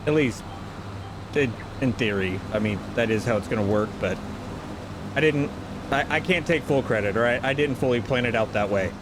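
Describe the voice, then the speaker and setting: A man chats his way through a farming game, rambling and catching himself mid-sentence. His nose is stuffed up, which thickens his voice now and then, and his pitch sits in an ordinary middle range. Faint outdoor noise stays under his voice. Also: normal speed. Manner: rambling, self-correcting, chatty. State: stuffy from a sinus infection. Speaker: gaming channel host. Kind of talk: casual gameplay commentary.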